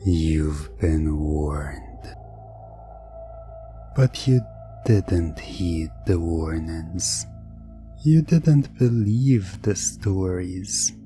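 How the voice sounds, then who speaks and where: A man narrating a scripted hypnosis roleplay in an ASMR style, telling the story with drawn-out pauses in a breathy voice that often drops toward a whisper. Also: low-pitched, mellow. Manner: slow, drawn-out second-person storytelling with frequent pauses. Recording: music under the voice. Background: background music.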